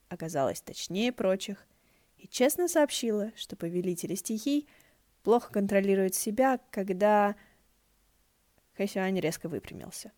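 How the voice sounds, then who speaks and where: A woman's voice: lowish voice, warm tone, no room sound. A woman narrating fiction from a script as an audiobook reader, in a wry storytelling style.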